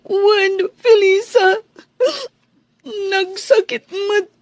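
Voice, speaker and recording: An actress with a Filipino accent playing a heartbroken young housemaid in a radio drama, plaintive and weepy. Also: female voice, very high-pitched, level tone, drawn-out words, exaggerated voice. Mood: tearful, hurt. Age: young adult. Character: heartbroken young housemaid in love, tearful and wounded.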